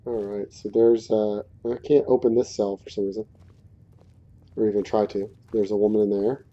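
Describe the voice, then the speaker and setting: A man giving casual let's play commentary in a hesitant, low-key, conversational way. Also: flat delivery, moderate pace, mellow. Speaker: gaming video creator. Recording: dull muffled audio, faint music behind. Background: faint game music.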